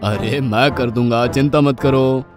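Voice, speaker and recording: An easygoing storybook father, voiced by a man, speaks reassuringly at a middling pitch. Music is clearly heard behind him. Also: steady tone, a little slow, natural voice. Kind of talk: acted dialogue in a children's story.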